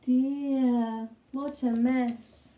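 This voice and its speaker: A woman narrates a children's storybook in a high, soft-toned voice. She takes her time, shaping each phrase expressively with dramatic pauses. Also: fairly even intonation. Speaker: storybook reader.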